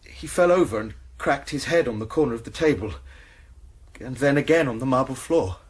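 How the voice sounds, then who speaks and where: An actor playing a young college student in a radio drama, defensively recounting a fatal scuffle step by step. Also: male voice, mid-range voice, animated, fairly quick, breathy edge. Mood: shaken, recounting.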